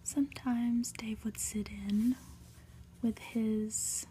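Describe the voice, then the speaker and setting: A woman reading a story aloud for ASMR in a soft, breathy whisper, hushed and deliberate, with pauses. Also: a little high. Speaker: host reading a book aloud.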